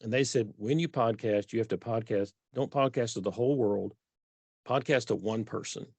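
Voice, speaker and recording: A man's voice: on the low side, moderate pace, gravelly, darkish tone, husky, dry recording. A middle-aged man from Texas with a Texan accent, speaking earnestly as an author guest in a conversational interview, often restarting his sentences. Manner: earnest, self-correcting restarts.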